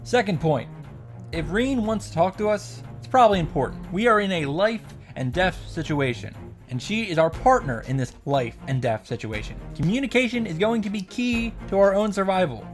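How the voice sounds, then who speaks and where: A man's voice: high-pitched, sing-song, normal speed. A man giving a casual, opinionated story recap and commentary, with emphatic, sarcastic asides. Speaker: video creator recapping a visual novel. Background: background music.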